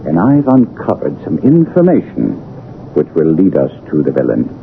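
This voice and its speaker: An actor playing a commanding, keen-minded detective in a 1970s radio drama, theatrical, assured and precise. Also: male voice, extremely low pitch, huge pitch swings, moderate pace, full character voice. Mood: wry, amused. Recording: muffled, narrow-band vintage radio, faint hiss.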